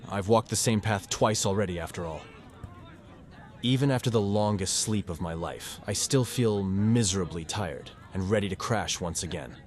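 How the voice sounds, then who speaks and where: A man voicing a weary, cynical and awkward high-school student who narrates his own visual novel story in a glum, sardonic way. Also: normal speed, a little mellow, natural voice. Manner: glum, sardonic, self-deprecating. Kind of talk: first-person scripted visual novel narration. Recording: music under the voice.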